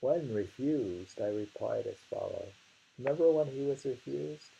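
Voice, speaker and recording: A man reading translated poetry aloud in a deliberate way and commenting on it casually, on a dull recording. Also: medium pitch, lively intonation, vocal fry, warm tone, husky. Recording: dull lo-fi home recording with hiss.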